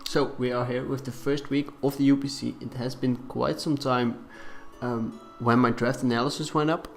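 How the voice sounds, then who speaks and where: A young man with a cold, talking quietly and hesitantly as he commentates on a game, with many fillers. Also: mid-range voice, steady tone, creaky, soft background music. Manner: deliberately quiet, subdued delivery. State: has a cold. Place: room in a shared flat.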